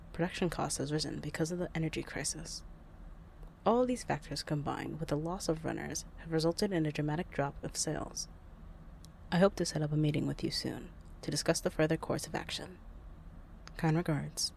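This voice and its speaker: An actor playing a loyal, composed assistant to a boss in an audio roleplay, speaking formally with a creaky voice. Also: mid-range voice, moderate pace, a little mellow, a little hiss or hum, lightly acted. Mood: formal, grave.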